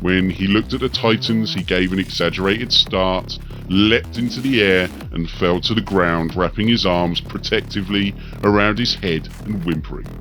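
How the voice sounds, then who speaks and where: A man's voice: deep voice, normal speed, a bit bright, soft background music. A man narrating a scripted fantasy story dramatically as an audiobook reader.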